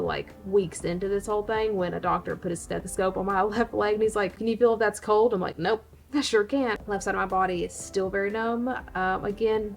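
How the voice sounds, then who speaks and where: A woman hosting her own channel, giving an unscripted casual update to viewers, a little hoarse and pausing for breaths. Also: mid-range voice. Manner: unscripted, breathy pauses, laughs often. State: slightly hoarse, short of breath. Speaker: reptile channel host.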